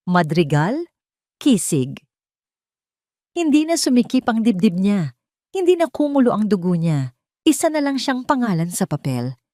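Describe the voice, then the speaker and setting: A woman reading a romantic drama as a storyteller, in a measured and heartfelt way, close to the mic. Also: medium pitch, big pitch swings, warm tone, no room sound. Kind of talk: scripted drama narration.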